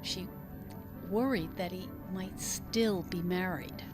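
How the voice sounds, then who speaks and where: A woman's voice: lowish voice, takes it slowly, over music. A woman reading a literary story aloud as an audiobook narrator, in scripted narration.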